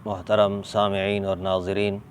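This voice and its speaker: Slow-paced Pakistani man teaching over background noise. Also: completely flat delivery. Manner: deliberate, emphatic, repeats key points. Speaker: Islamic scholar answering religious questions. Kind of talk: religious ruling explained as a spoken lesson. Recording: clear background noise, ambient vehicle rumble.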